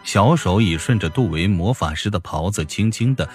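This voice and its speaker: A man's voice: sits low, animated, moderate pace. A man narrating a fantasy novel as a scripted audiobook. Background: music behind the voice.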